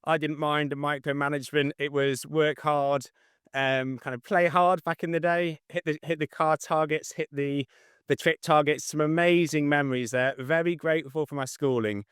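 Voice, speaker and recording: A middle-aged man giving a casual interview as a guest, slightly monotone, speaking matter-of-factly in clipped phrases. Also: a little high, normal speed, clear, resonant tone, dry recording, studio quality. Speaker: interview guest, estate agent.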